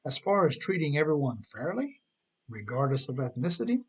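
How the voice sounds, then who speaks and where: A man's voice: on the high side, very animated, fairly quick, husky. A man narrating his own scripted text like an audiobook, with an emphatic storytelling delivery full of rhetorical questions. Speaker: author reading his own writing.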